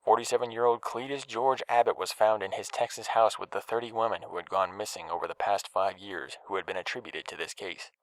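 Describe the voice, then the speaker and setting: A man's voice: fairly low pitch, fairly even intonation, fairly quick, hardly any pauses, no echo, natural voice, poor audio. A man voicing a television news reporter, delivering a formal broadcast bulletin.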